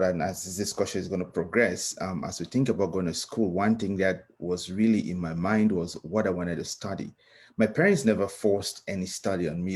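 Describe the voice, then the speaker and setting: A man with a Cameroonian accent speaks in a very low, husky voice. He talks reflectively as a guest speaker, earnest and thoughtful, often halting to find the next phrase. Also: darkish tone. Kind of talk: reflective personal talk.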